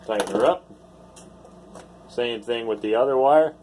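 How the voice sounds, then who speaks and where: A man's voice: medium pitch, unhurried, darkish tone, slight background noise. A man casually explaining a how-to project in a blunt, folksy, sweary manner. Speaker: DIY video host.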